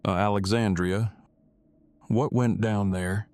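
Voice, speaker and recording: A man plays an anxious, guarded fellow in an audio drama. His voice sits fairly low and he talks in stilted, wordy, formal sentences, recorded dry and close. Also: fairly even intonation, slow, a little mellow, no room sound. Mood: stiff, hesitant. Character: wary, fearful man with stilted, overly formal speech.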